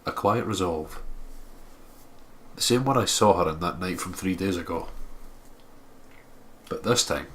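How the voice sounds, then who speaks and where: A man's voice: sits low, a bit bright. A man co-hosting a let's play, casually chatting and reading story narration aloud. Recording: clear background noise.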